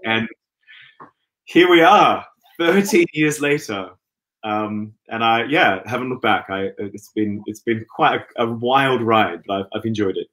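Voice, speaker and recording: A man in his thirties from Western Australia, a guest on a video call, talking in an easygoing, hesitant way with many pauses and restarts. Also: mid-range voice, a bit bright, rough recording. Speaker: guest, opera singer. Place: indoor room at home. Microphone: laptop webcam on video call.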